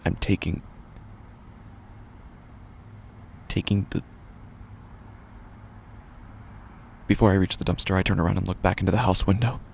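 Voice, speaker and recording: An actor playing a grieving, isolated, unhinged man in a first-person horror audio drama, breathy and gravelly, often whispering, with a halting, repetitive delivery. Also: sits low, fairly even intonation, darkish tone, slight background noise. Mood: dazed, halting.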